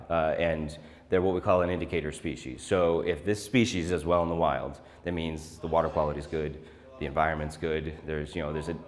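A man in his thirties, a zoo staff member, explaining casually in an interview. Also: deep voice.

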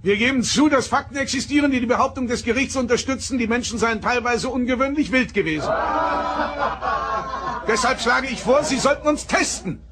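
An actor playing a principled, defiant starship captain, speaking formally and firmly in a science-fiction radio play. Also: male voice, very high-pitched, a little fast, crowd noise, background noise. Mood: insistent. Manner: formal, firm, argumentative.

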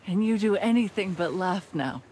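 A woman's voice: mid-range voice, lively intonation, moderate pace, background noise, close to an everyday voice. An actress playing a stern, composed woman gang leader in a video game, terse, cold and controlled. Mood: guarded. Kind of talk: video game character acting.

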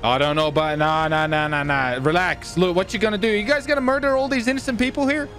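An excitable man giving giggly gameplay roleplay commentary, often repeating himself. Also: sits high, fast talker, soft background music. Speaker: gaming video creator.

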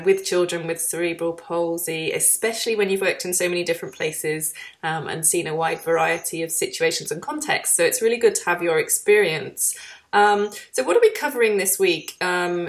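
A woman's voice: on the low side, steady tone, moderate pace. A woman hosting an online course interview, chatty with frequent "um"s as she introduces and questions her guest. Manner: friendly, chatty, many "um"s.

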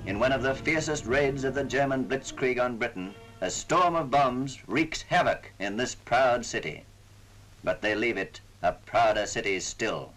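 A man's voice: mid-range voice, a little slow, a bit bright, soft background music, low-quality recording. A man narrating a wartime propaganda film in a solemn, formal, oratorical way, on a vintage 1940s soundtrack. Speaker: newsreel narrator.

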